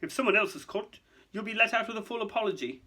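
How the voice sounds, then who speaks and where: A man's voice: high-pitched, expressive, fast talker, bright, forceful tone, voice pushed up, exaggerated voice. Man acting a clipped, fussy, anxious government minister. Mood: uncomfortable. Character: portly, anxious, self-important male government minister.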